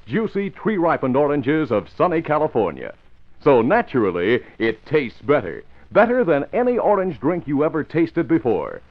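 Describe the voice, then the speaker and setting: A male radio announcer reading a script in hearty, emphatic 1950s style. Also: medium pitch. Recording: muffled vintage radio recording.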